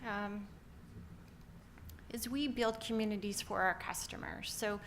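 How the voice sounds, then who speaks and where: A woman's voice: mid-range voice, steady tone, measured pace, fairly bright tone, a little hiss or hum. A middle-aged woman from Canada with a Canadian accent, giving an upbeat conference talk with many filler ums. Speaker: company founder presenting. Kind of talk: conference presentation.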